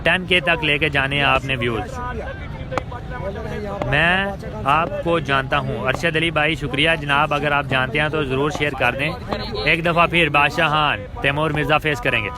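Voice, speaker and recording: A man's voice: fairly high pitch, fairly even intonation, normal speed. A man calling a cricket match outdoors, announcing shots and greeting viewers between balls. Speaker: livestream cricket commentator. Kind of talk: live commentary and viewer greetings. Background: crowd noise.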